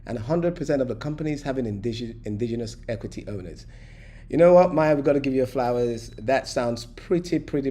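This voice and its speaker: Male host of an online session, chatty and joking, mid-pitched voice, on a video call. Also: dark tone. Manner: chatty, joking asides, frequent fillers.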